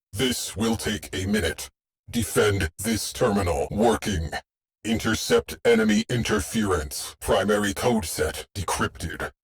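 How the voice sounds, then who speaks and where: A man voicing a terse, dry shipboard AI tactician in a video game, speaking in clipped, deadpan military phrases. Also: low voice, heavily acted. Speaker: mission control voice. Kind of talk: scripted game voice lines.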